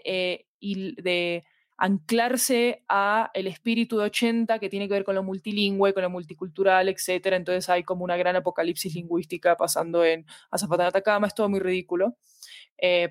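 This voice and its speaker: A woman's voice: medium pitch, no room sound, clean studio sound. A woman explains her work conversationally in an interview, reflectively searching for precise words. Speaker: podcast fiction producer, interview guest.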